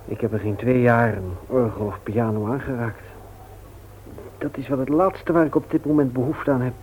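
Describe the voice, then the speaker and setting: An actor in a radio play, playing a grieving, weary widower with a halting, heavy-hearted delivery. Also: male voice, medium pitch, steady tone, lightly acted. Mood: subdued. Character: Gerrit, grieving widowed father, subdued and weary. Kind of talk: Dutch radio play acting. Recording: tinny, noisy old radio play recording.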